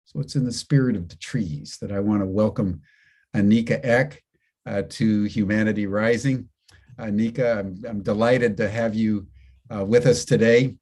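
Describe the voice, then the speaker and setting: A man hosting an online program, speaking in a measured, hesitant way as he welcomes a guest. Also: on the low side, darkish tone, slightly rough. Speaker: host.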